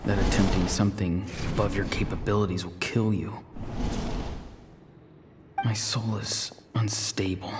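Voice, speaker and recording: A man's voice: on the low side, steady tone, measured pace, long pauses, lightly acted. An actor playing a weary, self-doubting but stubborn young man in a video game, earnest and brooding. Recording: loud game music behind.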